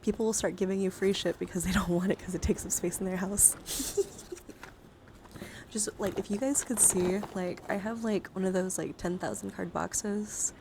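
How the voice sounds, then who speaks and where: A woman livestream host chatting casually, often dropping into soft murmurs and whispers. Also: medium pitch, normal speed. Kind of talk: casual live-stream chat. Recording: steady background noise.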